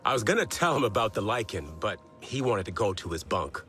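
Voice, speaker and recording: An actor playing a grief-stricken man mourning a companion in a video game, speaking in a halting, sorrowful way. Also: lowish voice, sing-song, fairly bright tone, faint music behind. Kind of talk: video game character acting.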